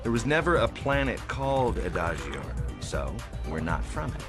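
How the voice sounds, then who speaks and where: A man's voice: on the low side, big pitch swings, moderate pace. A man playing a cocky, wisecracking military space pilot with a sarcastic, teasing manner in a video game cutscene. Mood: sardonic. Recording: music behind the voice.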